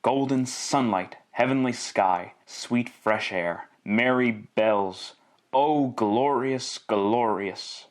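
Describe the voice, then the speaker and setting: A man's voice: medium pitch, slow pace, close to an everyday voice. A man narrating a Christmas tale in classic radio style, wry and theatrical, savouring each list and repetition. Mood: exultant.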